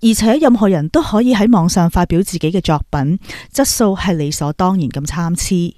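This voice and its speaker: A woman's voice: on the low side, normal speed, dark tone, dry recording, studio quality. A woman podcast host with a Hong Kong Cantonese accent, giving scripted spoken commentary.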